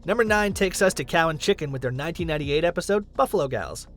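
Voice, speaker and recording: A man's voice: a little high, expressive, fast. A man reading scripted countdown narration as the host of a list video. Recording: music under the voice. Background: background music.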